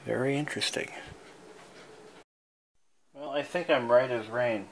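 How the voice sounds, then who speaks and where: A man giving a casual, unscripted show-and-tell as a hobbyist, thinking aloud in a musing, halting way. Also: medium pitch, slight background noise, low-quality recording. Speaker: hobbyist showing an old device he is restoring.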